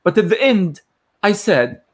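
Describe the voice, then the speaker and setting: Earnest man from Gaza, slightly high voice. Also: big pitch swings. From Gaza, Palestine. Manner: earnest and measured, with frequent fillers and throat clearing. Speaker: guest speaker on a panel. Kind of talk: speech in an online panel discussion.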